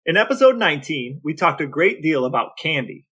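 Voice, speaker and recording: A man's voice: fairly high pitch, sing-song, brisk, fairly bright tone, husky. An American man co-hosting a podcast with casual, conversational talk. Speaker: podcast co-host.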